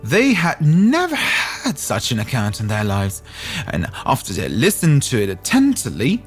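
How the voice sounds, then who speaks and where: Male storyteller, scripted fairytale narration, highly animated intonation, background music. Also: normal speed.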